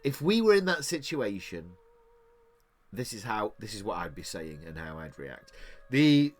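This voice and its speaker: Rambling English man, lively delivery. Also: fairly low pitch, unhurried. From England. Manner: rambling, restarts often, laughs easily. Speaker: podcast co-host. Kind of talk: casual chat.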